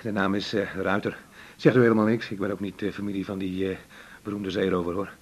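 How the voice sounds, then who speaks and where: A man's voice: sits low, little pitch movement, fast. An actor playing a chatty, forward male patient in a radio drama, rambling and jocular with many hesitations. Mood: chatty, awkward. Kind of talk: naturalistic radio drama dialogue.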